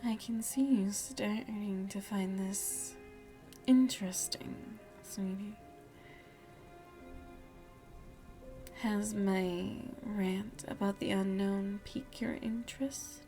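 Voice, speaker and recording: Slow, whispery seductive vampire woman voiced by an actress. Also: medium pitch, fairly even intonation, faint music behind. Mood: teasing, coaxing. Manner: hushed, breathy, deliberate, coaxing delivery. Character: seductive, motherly female vampire, teasing and quietly menacing. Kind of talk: ASMR roleplay. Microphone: close microphone.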